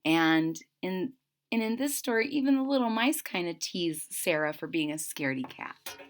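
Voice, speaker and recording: A woman reading aloud to children, expressive and explanatory. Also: lowish voice, normal speed. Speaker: storybook reader.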